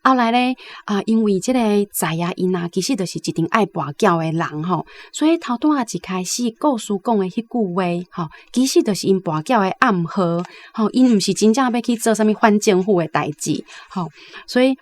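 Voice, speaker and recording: A woman's voice: medium pitch, animated, brisk. A woman with a Taiwanese accent giving chatty, conversational commentary on a story as a guest.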